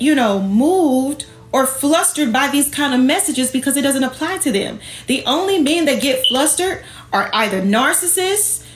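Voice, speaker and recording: High-pitched, emphatic sassy woman. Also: echoey room. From Jamaican heritage. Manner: emphatic, sassy, confrontational, colloquial, with rhetorical questions. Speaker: online host and women's empowerment speaker. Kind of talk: impassioned livestream talk to followers.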